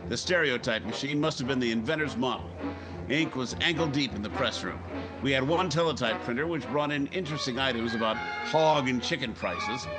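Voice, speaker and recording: Guy playing driven curt newspaper editor, mid pitch, self-assured, narrating a radio crime drama, music behind. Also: close to an everyday voice. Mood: hard-boiled narrating. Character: brash, driven forty-year-old male newspaper editor.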